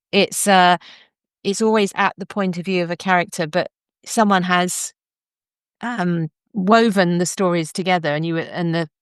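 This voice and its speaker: A woman's voice: on the low side, smooth, no room sound, clean studio sound. A woman hosting a literary discussion, speaking in an articulate, thoughtful, conversational way. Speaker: podcast host; novelist.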